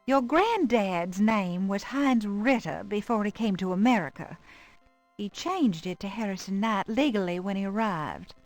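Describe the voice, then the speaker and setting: A woman acts out a gentle old grandmother from a nineties adventure game, fond and wistful. Her aged voice swings widely in pitch as she confides, and music plays softly behind her. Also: a little slow, natural voice, poor audio. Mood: wistful, confiding. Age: older. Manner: fond, expressive storytelling. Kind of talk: voice acting in a 1990s adventure game.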